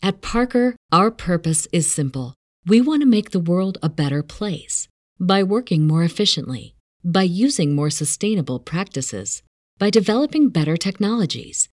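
Female corporate narrator, lower register, varied intonation, dry close recording. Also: expressive, moderate pace, darkish tone, no echo. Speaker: advert narrator.

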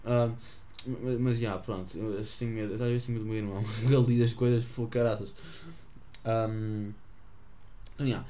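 A man's voice: lowish voice, steady tone, moderate pace, dark tone. A teenage boy from the Azores, rambling through stories to camera with lots of fillers and self-mockery. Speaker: vlogger. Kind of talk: casual storytelling to camera.

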